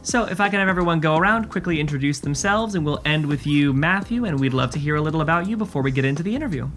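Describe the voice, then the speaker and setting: A woman's voice: deep voice, animated, racing, faint music behind. A woman hosting a podcast interview in an articulate, orderly way, as if partly reading from prepared notes. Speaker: podcast host and game master.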